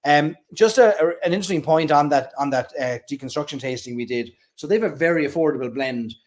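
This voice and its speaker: A man's voice: a little high, quick pace. A man co-hosting a casual whiskey talk show, chatty and teasing. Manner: chatty and jokey, teasing guests. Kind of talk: casual chat.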